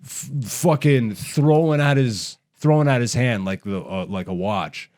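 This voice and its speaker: A man with an average-pitched voice hosts a casual comedy podcast. He rants bluntly in loose slang and swears freely as he goes. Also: measured pace, a little mellow. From raised in Canada. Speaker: stand-up comedian and podcast host.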